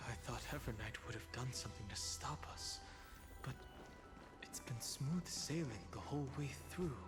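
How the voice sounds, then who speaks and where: An actor playing a guarded, protective male fighter in a video game, speaking tersely and deliberately. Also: medium pitch, in a large hall, lightly acted. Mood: watchful. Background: game music.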